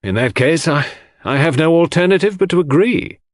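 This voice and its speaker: A grave, reluctant gentleman in a mystery audiobook, acted by a man. He is reserved and polite, with a slightly raised pitch and a dry, close sound. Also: expressive, moderate pace, dry recording, close to an everyday voice. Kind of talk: dramatic audiobook mystery reading.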